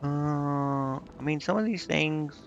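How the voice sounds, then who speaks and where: A man's voice: fairly high pitch, slow pace, vocal fry, dull audio, noisy background. A man streaming a video game, thinking aloud in a casual, offhand and indecisive way. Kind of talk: casual live gameplay commentary, thinking aloud.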